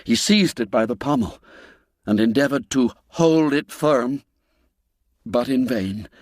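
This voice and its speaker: Slow, measured male storyteller. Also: dry recording, studio quality. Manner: measured, dramatic storytelling, dropping low and quiet for grim moments. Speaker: narrator. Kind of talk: scripted radio story narration. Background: faint music score.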